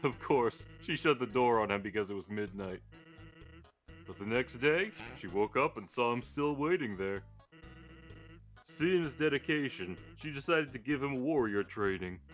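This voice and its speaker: A man voicing a laid-back, joking skeleton from a video game, with a casual, wry deadpan manner. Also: medium pitch, moderate pace, lightly acted. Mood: easygoing. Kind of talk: live character voicing of video game dialogue. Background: game music.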